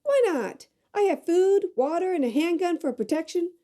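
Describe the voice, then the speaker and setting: A woman's voice: extremely high pitch, expressive, moderate pace, warm tone. A woman acting a stubborn, self-reliant woman in an audio drama, with an emphatic, headstrong way of talking. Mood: insistent.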